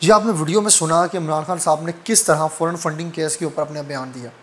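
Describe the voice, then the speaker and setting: A Pakistani man with a distinctly high voice presents the news. He speaks as a commentator, addressing the viewers directly. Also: fairly even intonation, fast, slight background noise.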